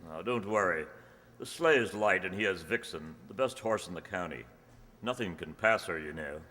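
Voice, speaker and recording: A man acting a forceful, sardonic gentleman. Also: low-pitched, expressive, moderate pace. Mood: reassuring. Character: sardonic, prickly country-house gentleman. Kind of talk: old-time radio mystery acting. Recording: noisy, echoey stage hall.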